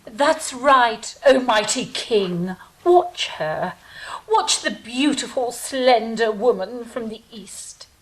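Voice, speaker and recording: Woman as a slow, grandiose seductive villainess. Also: on the high side, full character voice, poor audio. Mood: silky, hypnotic. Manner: grandiose, deliberate. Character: seductive, menacing villainess plotting a strangling. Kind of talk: theatrical villain monologue in audio drama.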